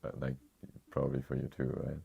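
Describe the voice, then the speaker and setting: Very deep-voiced man chatting casually. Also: monotone, brisk, creaky, slightly rough, narrow, tinny audio. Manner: rambling, chuckles often, restarts. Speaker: co-host. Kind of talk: casual livestream chat reading comments.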